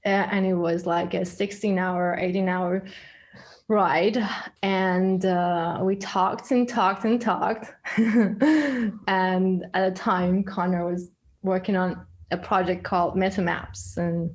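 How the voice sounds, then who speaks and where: A woman in her thirties who grew up in Iran, telling her story in a relaxed interview over a video call, hesitant and full of fillers. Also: fairly low pitch, takes it slowly. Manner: hesitant, many fillers, laughs often. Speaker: guest, studio co-founder.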